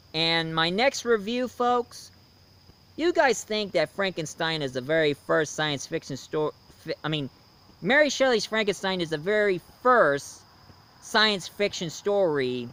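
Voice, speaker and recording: Bright, clear-voiced man hosting reviews. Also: a little high. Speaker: online reviewer and host. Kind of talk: casual review talk to viewers.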